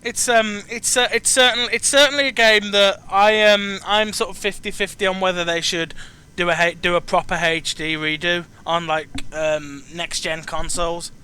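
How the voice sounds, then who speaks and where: A man's voice: sits high, fairly even intonation, normal speed, sharp tone, smooth. A man hosting a casual chat about video games, speaking hesitantly and often repeating and restarting his phrases. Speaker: chat show host interviewing a guest.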